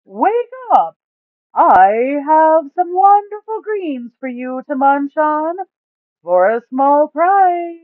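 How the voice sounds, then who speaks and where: A woman plays a boisterous man from a fantasy storybook, pitched far above the narration. He hollers loudly and drags his words out with gusto. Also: sing-song, no echo, narrow, tinny audio, heavily acted. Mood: loud, excited. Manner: drawn-out, hollering delivery. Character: boisterous loud male adventurer with a trident.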